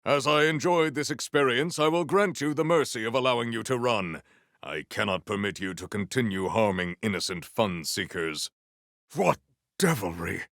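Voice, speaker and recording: A man voicing a stoic, honourable warrior in a video game, speaking in formal, stately phrases with a gravelly voice. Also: medium pitch, lively intonation, fairly bright tone, no room sound, studio quality. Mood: commanding, fierce. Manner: formal, stately, measured diction.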